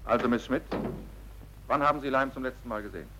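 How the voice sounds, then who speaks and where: A man's voice: medium pitch, quick pace, background noise, close to an everyday voice. A male actor playing a stern, insistent military police interrogator in a German drama, clipped and commanding. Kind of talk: German radio drama or film-dub acting.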